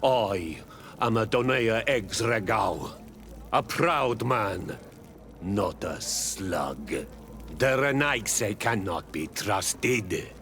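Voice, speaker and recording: An actor playing a proud, hostile island village chief, gruff and disdainful, in a video game. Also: male voice, on the low side, expressive, a bit bright, heavily acted. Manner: gruff, slow, deliberate, disdainful. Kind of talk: video game dialogue acting. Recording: clear ambient noise behind the voice.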